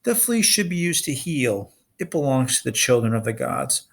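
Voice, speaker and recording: A man's voice: mid-range voice, sing-song, normal speed, gravelly. A man playing a brave boy hero in a storybook, speaking earnestly and urgently. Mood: accusing. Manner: earnest, urgent pleading and yelling.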